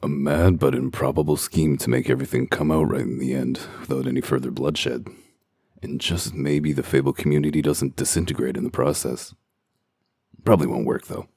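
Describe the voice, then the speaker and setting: Male actor for hard-boiled wolf detective in comic dub. Extremely low, husky, dry wit, terse delivery. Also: steady tone, moderate pace, a little mellow, no room sound. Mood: sardonic. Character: gruff, cynical wolf detective in human guise.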